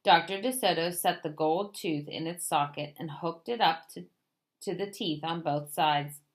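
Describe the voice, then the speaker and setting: A woman reading a children's picture book aloud in an expressive storytelling way. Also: lowish voice, a little slow.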